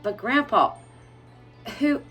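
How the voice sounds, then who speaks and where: Lady doing a curious little storybook boy, quick eager questions, light music under it. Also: a little high, animated, fairly bright tone, pitched above the performer's own voice. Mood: puzzled.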